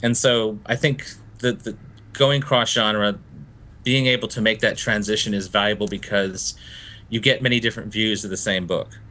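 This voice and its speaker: Bright-voiced thoughtful novelist, a man. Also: lowish voice, steady tone, normal speed. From Florida, US. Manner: thoughtful and discursive, laughs easily. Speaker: novelist guest. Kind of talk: interview conversation.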